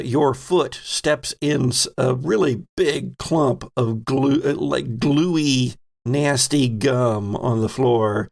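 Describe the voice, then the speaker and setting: A man's voice: medium pitch, vocal fry. A man narrating a tabletop horror game as game master, describing scenes vividly and addressing the players directly.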